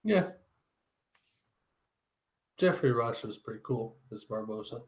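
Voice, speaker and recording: A man's voice: medium pitch, animated, slow pace. A man co-hosting a podcast, bantering in a casual, laid-back and teasing way. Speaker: podcast co-host.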